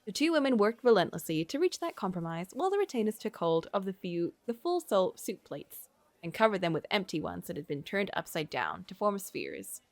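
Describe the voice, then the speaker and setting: A woman game streamer reading a visual novel aloud in an expressive storytelling way, with casual asides. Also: medium pitch, fairly quick, dry recording.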